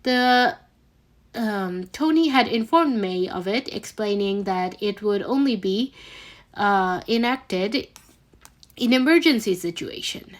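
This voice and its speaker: Slow-paced woman reading fan fiction, halting. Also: medium pitch, animated. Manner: halting, stumbles and corrects herself. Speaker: podfic reader. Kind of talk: amateur fan fiction read-aloud.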